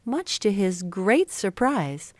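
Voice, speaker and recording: A woman's voice: mid-range voice, very animated, slow pace, a little mellow, no echo. A woman reading a scripted children's story as a storyteller.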